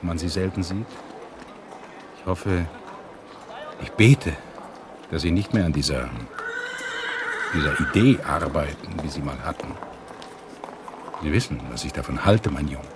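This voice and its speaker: A man's voice: rumbling low, measured pace, pauses often. An actor playing a concerned, fatherly older male professor, admonishing and emphatic, in a radio drama. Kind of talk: German radio drama acting. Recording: noisy outdoor street sounds behind.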